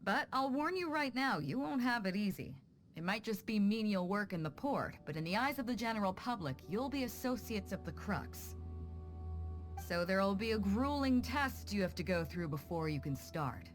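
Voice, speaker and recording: A woman's voice: medium pitch, expressive, normal speed, faint music behind, close to an everyday voice. An actress playing a bold, confident female pirate captain in a video game, breezy and self-assured, casual yet commanding. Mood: frank, businesslike.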